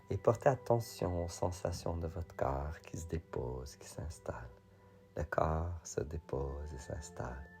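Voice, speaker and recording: A man with a very low, mellow voice leads a guided relaxation. He speaks softly and soothingly, sometimes close to a whisper, with a crackle of fry at the edges. His phrases repeat gently, with long pauses between them. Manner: soothing, soft, often breathy near whisper. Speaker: yoga nidra guide.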